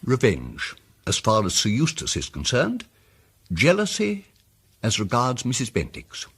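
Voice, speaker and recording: A fussy muddled polite detective in a radio play, husky voice, really swoopy intonation. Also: male voice, mid-range voice, wildly swooping pitch, a little slow, a bit bright, heavily acted. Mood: delicate, grave. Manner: hesitant, fussy, precise, old-fashioned politeness. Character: mild, muddled, apologetic male amateur detective explaining his deductions. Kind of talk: radio drama dialogue.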